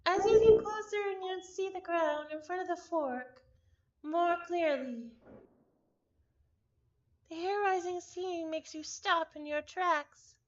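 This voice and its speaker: A woman's voice: very high voice, slow pace, dull audio. A woman reading a visual novel aloud in a playful, theatrical manner, breaking off into chatty asides. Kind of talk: visual novel read-along with casual reactions.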